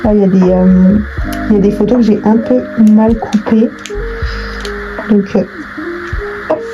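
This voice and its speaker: A woman talks casually to her viewers while working with her hands. Her pitch is average for a woman, and her delivery is informal and hesitant, with trailing phrases and repeats. Music plays clearly behind her voice. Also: steady tone, a little slow, highs cut off. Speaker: video host doing a craft tutorial. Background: background music.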